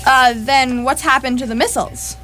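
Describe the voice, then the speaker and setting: A woman's voice: sits high, steady tone, normal speed, pushed and bright, soft background music. A woman playing a brash, impatient spaceship captain in radio theatre, bossy and curt. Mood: rattled. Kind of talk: staged radio theatre acting.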